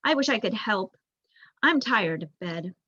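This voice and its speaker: A woman's voice: mid-range voice, lively intonation, measured pace, pushed and bright, slightly rough, no echo, full character voice. A young woman voicing a skeptical, curious young boy mouse in a storybook, questioning and plaintive, on a dull recording. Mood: wistful.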